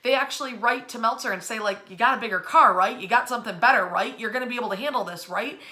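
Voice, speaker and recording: A middle-aged American woman telling history in a casual, animated way, speaking in a bedroom to a camera a short distance away. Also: on the high side, fast. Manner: animated storyteller, acting out quotes in slangy asides. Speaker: history storyteller and host. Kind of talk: casual, informal history storytelling. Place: indoors in a bedroom.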